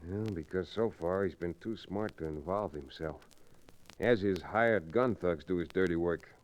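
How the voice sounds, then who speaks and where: A man's voice: deep voice, normal speed, dull audio, lightly acted. A man playing a cool, wry frontier hero with a folksy drawl in an old-time Western radio drama. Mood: serious, calm. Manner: calm, dryly taunting, folksy.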